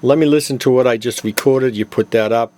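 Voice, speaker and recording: A man doing a casual hands-on product demo, explaining it step by step in a halting, improvised way. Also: mid-range voice, dark tone. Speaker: product demonstrator and seller. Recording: clear background noise, radio chatter. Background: CB radio chatter and noise.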